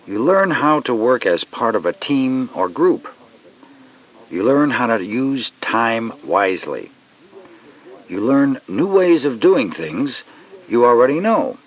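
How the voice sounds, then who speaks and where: A man's voice: medium pitch, sing-song, takes it slowly. A man reading scripted educational narration for children, instructive and speaking straight to the listener, on a lo-fi cassette. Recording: dull lo-fi cassette.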